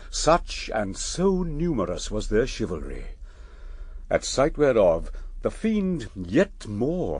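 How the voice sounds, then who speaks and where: Guy narrating old epic poetry, raspy husky voice, takes his time, very expressive. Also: lowish voice, dry recording. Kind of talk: dramatic epic verse narration for radio.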